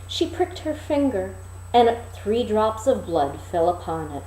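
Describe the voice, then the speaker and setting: A woman's voice: medium pitch, sing-song, unhurried, dark tone. A woman reading a fairy tale aloud expressively as a storyteller. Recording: echoey room.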